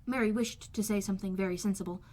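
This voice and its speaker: A woman's voice: medium pitch, fast, a little mellow. A woman reading a classic novel aloud as scripted audiobook narration. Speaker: amateur audiobook reader.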